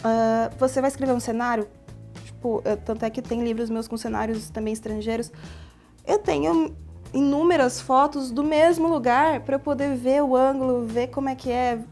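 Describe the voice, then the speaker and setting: A Brazilian woman with an accent from São Paulo's interior, an author explaining her novel at length in a lively, talkative way in a TV interview. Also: sits high, normal speed, faint music behind. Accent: Brazilian Portuguese, São Paulo interior. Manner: talkative, animated, long explanations. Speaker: novelist guest. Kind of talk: TV studio interview.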